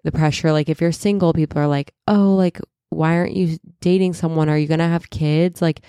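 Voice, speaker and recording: Stuffy, slightly raspy woman in her thirties. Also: low-pitched, steady tone, fairly quick, warm tone, no room sound, clean studio sound. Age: 30s. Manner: chatty, many "like"s and self-corrections. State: stuffy and a little raspy from a cold. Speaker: podcast host and wellness coach. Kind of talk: casual solo podcast chat.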